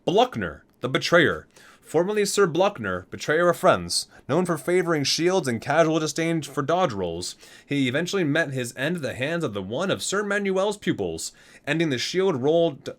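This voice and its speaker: A man's voice: on the high side, a bit bright. A man reading aloud dramatically as a video creator, drawing out titles and names and dropping in casual asides.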